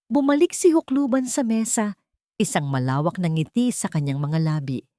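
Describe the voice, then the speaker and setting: A woman narrating a scripted story in a deliberate, suspenseful, dramatic way. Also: fairly low pitch, animated, dark tone, no room sound, clean studio sound.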